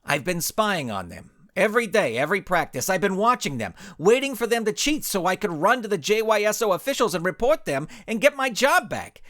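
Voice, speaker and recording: A man's voice: sits high, big pitch swings, a little fast, hardly any pauses, fairly bright tone, dry recording, studio quality, voice pushed up. A man voicing a nervous, earnest youth coach who pleads insistently in a story. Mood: confessional. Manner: whimpering, insistent.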